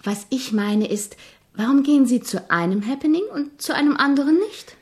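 An actress playing an earnest, persistent young composition student in a German radio drama, questioning politely but insistently. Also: female voice, high-pitched, moderate pace, a little mellow, close to an everyday voice. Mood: probing.

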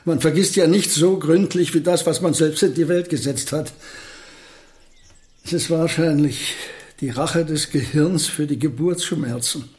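An actor playing a gentle, absent-minded elderly genius professor in a radio play, speaking with hesitant, ponderous courtesy. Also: male voice, fairly high pitch, unhurried, natural voice. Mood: musing, earnest.

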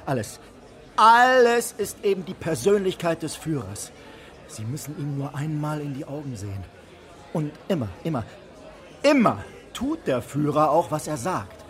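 Radio play, fat gushing fanatic, very animated, rapturous repetition, busy crowd around him. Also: male voice, fairly high pitch, moderate pace. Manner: rapturous, repeats himself with emphasis. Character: fat, gushing Nazi fanatic, starstruck by his leader. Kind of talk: German radio drama acting. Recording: crowded pub noise behind.

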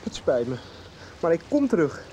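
An actor playing a haunted, driven teenage boy in a radio soap, speaking haltingly and intensely, with rain behind him. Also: on the high side, sing-song, warm tone, a little hiss or hum. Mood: resolute. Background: rain, music.

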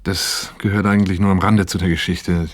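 A troubled, dutiful man on a therapist's couch in a radio play. His voice is very low and clean, recorded close and dry. He recounts his past matter-of-factly, with bitterness underneath, slipping into remembered dialogue. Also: level tone, brisk, no room sound. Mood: wry, resigned. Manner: matter-of-fact, reflective, acting out remembered dialogue. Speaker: protagonist and first-person narrator of a radio play. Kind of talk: 1980s German radio play acting, confessional monologue.

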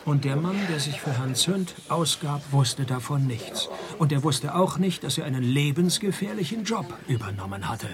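An actor playing a wry, sardonic private detective in a comic noir radio play, narrating and talking in deadpan. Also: male voice, on the high side, normal speed, a crowd in the background, lightly acted. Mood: dry, sarcastic. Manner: deadpan, sarcastic. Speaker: narrator and lead character.

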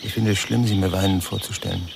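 An actor playing a cynical yet gentle man with a gravelly voice and a blunt, probing manner in a German radio crime drama. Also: low voice, fairly even intonation, fast talker, noisy background. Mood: probing, softer.

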